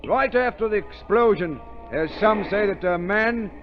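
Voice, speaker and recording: A man's voice: sits high, a little slow. An actor playing a deferential subordinate reporting to his chief, plain-spoken, in 1930s radio drama style. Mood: puzzled. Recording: muffled old 1930s radio recording.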